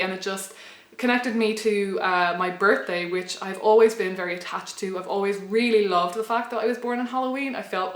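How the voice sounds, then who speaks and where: A woman's voice: medium pitch, a bit bright. A young Irish woman telling a personal story to camera in a reflective, rambling way, often restarting her sentences. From Ireland. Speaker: pagan blogger and vlogger. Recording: roomy echo.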